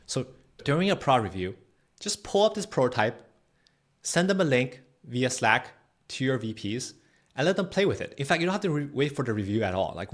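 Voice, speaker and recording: A man presenting a software tutorial in a casual, conversational, explanatory way, often checking in with the listener. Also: medium pitch. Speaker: tutorial host.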